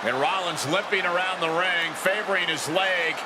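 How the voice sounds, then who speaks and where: A man's voice: high-pitched, moderate pace, lightly acted. A man playing a wrestling play-by-play commentator, calling moves in an emphatic, exclamatory way. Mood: intense. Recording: loud crowd noise and ambience.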